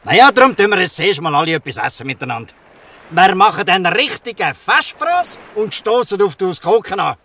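A man's voice: high-pitched, sing-song, brisk, sharp tone, heavily acted. An actor playing a fatherly, shrewd, bluff fisherman in a Swiss German radio play. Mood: kindly, persuasive. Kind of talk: Swiss dialect radio drama acting. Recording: slightly distant from the mic.

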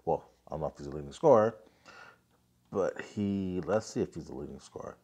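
Deep-voiced man with a cold. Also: lively intonation, a little fast, a little mellow, husky. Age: 30s. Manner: offhand, lots of fillers, reads scores aloud. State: getting over a cold, coughing. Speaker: basketball podcast host. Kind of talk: casual sports recap and rant. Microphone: phone, selfie framing.

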